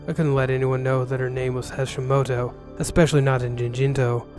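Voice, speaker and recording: A man's voice: mid-range voice, flat delivery, a little fast. A man reading a first-person story as narrator, in the role of a ronin, with a wry, sarcastic manner. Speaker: narrator reading an interactive novel. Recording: music bed under the voice.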